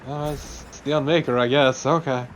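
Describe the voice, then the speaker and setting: A man with a medium-pitched voice banters casually as co-commentator on a video game. He is wry and joking in his remarks. A faint layer of background noise sits behind him. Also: fairly even intonation, a little fast. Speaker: let's play co-commentator. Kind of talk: casual banter over gameplay.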